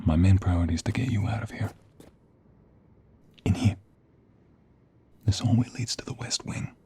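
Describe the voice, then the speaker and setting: A man playing a protective, tender mobster in a hushed, intimate and urgent ASMR romance roleplay, with a breathy, gravelly voice. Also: lowish voice. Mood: tense, determined. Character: protective, tender mobster rescuing his lover.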